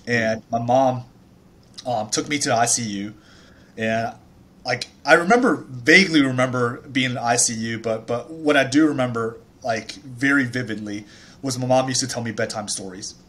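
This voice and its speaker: A man's voice: mid-range voice, moderate pace, a bit bright. A man in his thirties from Taiwan, a startup founder telling his life story in a casual interview, chatty with many fillers and restarts.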